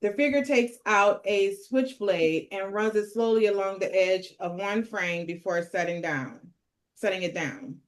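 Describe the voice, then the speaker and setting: A woman's voice: medium pitch, measured pace. A woman narrating screenplay stage directions as she leads a table read.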